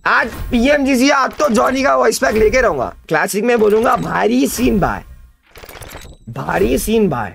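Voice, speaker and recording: A man's voice: high-pitched, measured pace, gravelly, faint music behind. A man in his twenties with an Indian accent, chatting casually on a gaming livestream, repeating himself in slangy hype. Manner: repetitive, animated slangy hype. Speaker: gaming streamer. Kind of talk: casual excited livestream chat. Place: indoor streaming setup at a desk.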